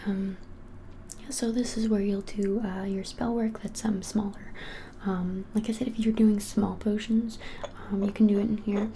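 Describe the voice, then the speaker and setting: A woman in her twenties whispering softly and gently in an unhurried ASMR shop roleplay. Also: medium pitch, little pitch movement, slight background noise. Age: 20s. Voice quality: soft, breathy whisper. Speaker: ASMR creator playing a witch shop keeper. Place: small indoor room, plain wall.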